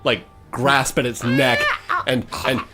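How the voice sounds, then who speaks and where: A man's voice: medium pitch, level tone, slow. A man running a tabletop game as game master, improvising narration of the action and handling rules. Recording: music behind the voice. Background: music.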